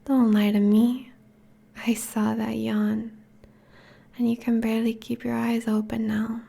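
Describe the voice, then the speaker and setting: A tender, possessive vampire girlfriend, played by a woman, whispers in an intimate ASMR roleplay. Her voice is fairly high, breathy and creaky at the edges. She coaxes and teases slowly and softly. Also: level tone, full character voice. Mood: coaxing, hungry. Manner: slow, soft, coaxing.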